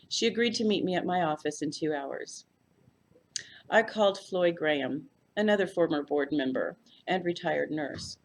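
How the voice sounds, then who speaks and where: A woman reading a first-person mystery story aloud as a volunteer narrator. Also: on the low side. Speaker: volunteer audiobook reader.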